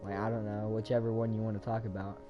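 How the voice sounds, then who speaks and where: A man chatting casually and profanely while playing a game, with sudden loud outbursts. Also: fairly low pitch, flat delivery, quick pace, lo-fi sound, over loud music. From United States. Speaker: gaming video creator. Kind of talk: casual gameplay chat.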